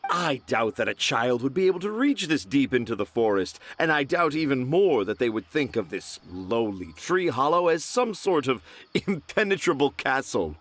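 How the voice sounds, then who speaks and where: A man acting a boastful, theatrical storyteller in a video game, with a pompous, grandiose showman's delivery. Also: medium pitch.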